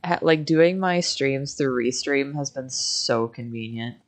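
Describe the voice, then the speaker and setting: A woman's voice: extremely low pitch, measured pace, faint music behind. An American woman chatting casually as she hosts a game livestream, with a giggly, slangy, informal manner. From Michigan, United States. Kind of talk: casual livestream chat while gaming.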